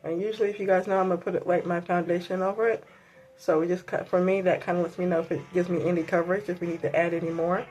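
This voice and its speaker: A woman's voice: lowish voice, flat delivery, fast talker, a little mellow, rough recording. A woman with a sore throat and a stuffy voice, chatting casually through a makeup tutorial with many ums and likes. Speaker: beauty vlogger.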